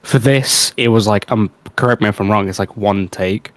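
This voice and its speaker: A man's voice: lowish voice, normal speed, no room sound. A man chatting casually on a livestream, giving critique in a hedging, explanatory way. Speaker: guest giving critique on an audio production.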